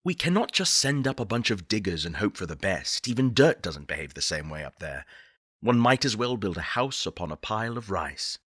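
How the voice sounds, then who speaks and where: A crisp, forceful male voice, fairly low and recorded very dry, playing a visionary leader of a space program in a game. He is solemn and eloquent. Each line is delivered as deliberate, persuasive oratory. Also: very animated, dry recording, heavily acted. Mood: wry, dismissive.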